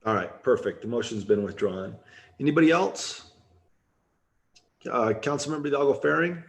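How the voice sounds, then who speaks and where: A man chairing a council meeting as mayor, brisk and businesslike as he calls on members. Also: mid-range voice, vocal fry.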